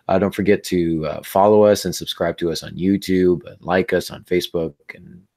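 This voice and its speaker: A man hosting a writing podcast in a casual, halting way with many fillers, his voice worn down by laryngitis. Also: low-pitched, steady tone, creaky, darkish tone. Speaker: podcast host. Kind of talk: casual podcast chat and teaching.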